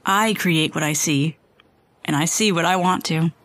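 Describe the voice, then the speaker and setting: A woman playing a wry, paranoid storyteller in a scripted audio fiction, with deliberate literary phrasing. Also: deep voice, fairly bright tone. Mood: wry, playful. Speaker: storyteller and host of a fiction podcast. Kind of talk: scripted dramatic first-person narration.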